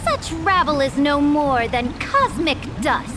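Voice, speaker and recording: High-voiced, grandiose conquering sovereign queen. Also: sing-song, slow, full character voice. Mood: triumphant rallying. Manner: regal, declamatory, theatrical. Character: proud, grandiose young female sovereign, conquering and persuasive. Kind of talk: dramatic video game voice acting. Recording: loud game music over the voice.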